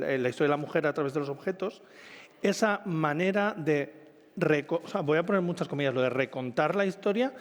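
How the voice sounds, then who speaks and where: Chatty higher-voiced Spanish man, echoey hall. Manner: chatty, rambling, self-correcting. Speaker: event host, interviewer. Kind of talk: informal literary interview. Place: live event in a reverberant hall. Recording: echoey hall, long reverb.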